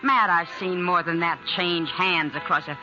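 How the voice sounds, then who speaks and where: A spirited American saloon woman of the Old West, played by an actress. She talks earnestly and frankly, with a warm heart. It sounds like a dull fifties radio drama recording with music behind. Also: lowish voice, normal speed, lightly acted. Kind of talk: 1950s radio western acting. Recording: muffled old radio broadcast.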